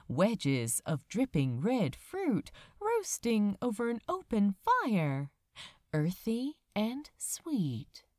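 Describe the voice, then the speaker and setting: A woman reading fiction aloud as an audiobook narrator, dramatically, with lingering phrases and pauses. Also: mid-range voice, no echo, studio quality. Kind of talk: expressive scripted fiction narration.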